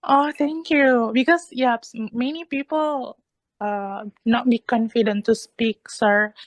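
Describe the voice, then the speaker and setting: A woman with a fairly high voice and an Indonesian accent, whose native language is Indonesian. She speaks in earnest, encouraging phrases that she often repeats as she searches for words. The sound is dry, with very little room in it. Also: steady tone, takes it slowly, no room sound. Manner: hesitant, repetitive phrasing in earnest, encouraging talk. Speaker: English learner in an online discussion group. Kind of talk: motivational discussion in an online meeting.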